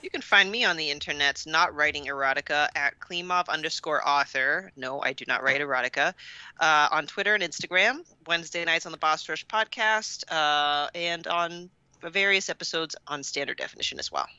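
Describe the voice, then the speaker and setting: A woman co-hosting a comedy podcast, chatting casually in a playful, animated way. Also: sits low, moderate pace, clean tone, no echo, highs cut off. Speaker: podcast co-host.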